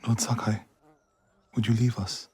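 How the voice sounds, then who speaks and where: A man's voice: on the low side, level tone, a little slow, mellow, slightly rough, no room sound. A man playing a grieving, stubborn warrior monk set on revenge, speaking solemnly in a dramatic game cutscene. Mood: tender, grieving. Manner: solemn, weighty, intense.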